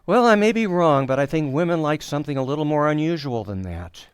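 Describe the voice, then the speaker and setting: A well-meaning suburban dad from a radio sitcom, played by a man. His highish voice swings up and down as he hesitates, fusses and offers folksy opinions. The sound is close and dry. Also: expressive, brisk, clear, resonant tone, no room sound, close to an everyday voice. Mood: earnest, hesitant. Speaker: actor in community radio theater. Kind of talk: old-time radio sitcom acting.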